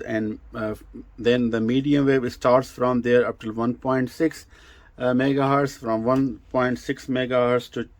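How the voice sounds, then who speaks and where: A man who speaks English as a second language gives an unscripted product demonstration, explaining technical details in a halting way with repeated words. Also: medium pitch, darkish tone, no echo. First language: not English. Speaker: presenter of a vintage radio review.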